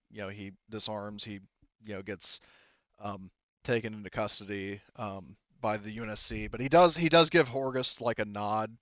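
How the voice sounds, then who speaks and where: Halting male game master, slightly muffled. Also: lowish voice, dry recording. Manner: halting, hesitant, rambling phrasing. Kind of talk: improvised tabletop game narration.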